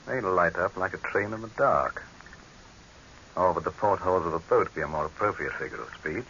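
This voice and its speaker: Old hissy radio drama. Male dictator: deep, pompous, used to being obeyed, quick to irritation. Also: animated, pushed and bright, dull audio, heavily acted. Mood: haughty, amused. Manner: pompous, condescending, commanding. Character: pompous, imperious male dictator, coolly amused, easily irritated. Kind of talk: 1930s radio horror drama acting.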